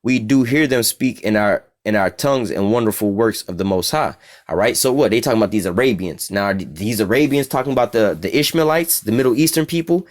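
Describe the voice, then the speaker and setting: A man's voice: fairly low pitch, fairly quick. A man from the United States with an American accent, giving an informal, profane bible teaching and keeps asking "all right?".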